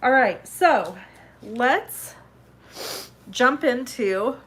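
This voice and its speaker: A woman with a stuffed-up head cold hosting a live card-making tutorial in a chatty, upbeat way, sniffling and clearing her throat now and then. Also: fairly high pitch, expressive, extremely slow, a bit bright. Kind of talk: casual live tutorial chat.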